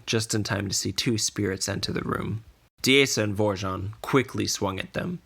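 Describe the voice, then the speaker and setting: A man narrating a scripted fantasy story in a measured, deliberate way. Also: fairly low pitch, creaky, a bit bright. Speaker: podcast narrator.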